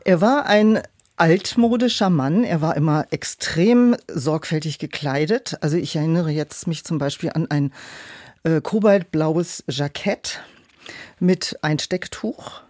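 A woman's voice: deep voice, lively intonation, measured pace, darkish tone, no echo. Considered middle-aged woman, dry studio sound. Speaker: audiobook producer, podcast guest. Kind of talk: casual interview storytelling.

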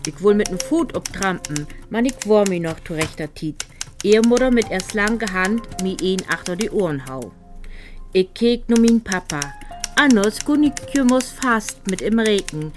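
Woman reading a Low German story over music. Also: darkish tone. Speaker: reader of a literary story. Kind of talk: expressive literary reading.

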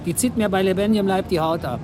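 Radio drama: male actor as scheming henpecked clerk, higher-pitched, urgent, by turns commanding and pleading. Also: fast, background noise, close to an everyday voice. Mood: uneasy, placating. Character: nervous henpecked husband, small clerk, scheming and bossy. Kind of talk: radio play acting.

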